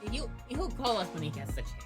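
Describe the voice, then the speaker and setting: Woman acting young guy superfan, swooping pitch, gushing, informal, audio drama, music behind. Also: sing-song, fairly quick. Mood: upbeat. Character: excitable young male boy-band superfan.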